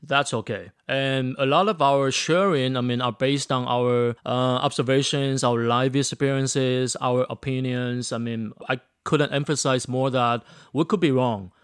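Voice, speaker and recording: Smooth-voiced Taiwanese man co-hosting a podcast. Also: medium pitch, fairly even intonation, measured pace, no room sound, clean studio sound. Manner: friendly, with frequent fillers. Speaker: podcast co-host. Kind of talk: casual podcast chat.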